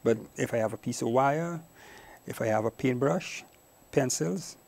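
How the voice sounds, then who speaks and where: A middle-aged man with a Guyanese Caribbean accent answers as an interview guest. His voice sits at a middle pitch with plenty of gravelly creak, and he speaks reflectively. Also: normal speed, a little mellow. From Guyana, later Trinidad and Tobago. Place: small indoor TV studio. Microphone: lapel mic.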